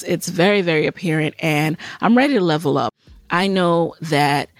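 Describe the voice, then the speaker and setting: A woman's voice: low voice, no echo. Woman in her thirties, from Jamaica, podcast host, candid chatty talk, dry close mic. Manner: chatty, candid, many fillers and restarts. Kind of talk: solo podcast update, casual chat.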